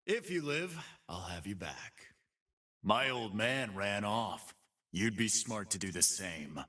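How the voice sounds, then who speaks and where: A man's voice: on the low side, animated, takes it slowly, pushed and bright, far from the mic, exaggerated voice. An actor voicing a cocky, brutish half-human fight-pit boss for a video game, with a swaggering, taunting, slangy tough-guy drawl. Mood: menacing swagger. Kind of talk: video game character voice lines.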